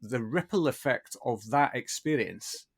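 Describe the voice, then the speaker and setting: A middle-aged man hosting a podcast interview, speaking in a measured, thinking-aloud way. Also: mid-range voice, lively intonation. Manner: measured, thinks aloud with restarts and tag questions. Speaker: podcast host. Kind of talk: conversational interview.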